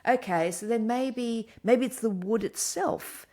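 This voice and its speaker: A woman's voice: fairly high pitch, measured pace, a little mellow. A woman co-hosting a talk show, explaining a topic with enthusiasm and an easy laugh. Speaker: podcast co-host. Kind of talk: casual chat.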